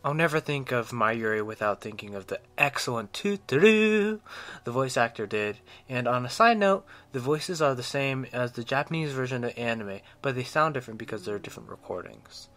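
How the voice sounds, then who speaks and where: Male reviewer, scripted narration, mid-pitched voice, enthusiastic, opinionated fan delivery. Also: animated, a little fast, a bit bright. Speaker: video game reviewer.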